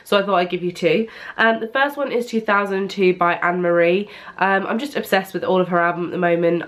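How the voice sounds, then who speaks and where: Young British woman, congested with a cold, chatty and rambling, slightly low voice for a woman. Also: a bit bright. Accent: British English. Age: young adult. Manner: chatty, rambling, many ums. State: blocked nose from a cold. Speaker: vlogger. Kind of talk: casual chat to camera. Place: at home, indoors. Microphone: camera propped on an ironing board.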